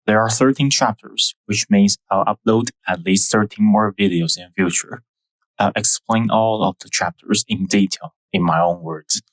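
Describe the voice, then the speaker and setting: Young Taiwanese-accented male host, lowish voice, dry close mic, measured scripted history narration. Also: dry recording, rough recording. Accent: Taiwanese Mandarin. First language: Mandarin. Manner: careful, measured explaining with non-native phrasing.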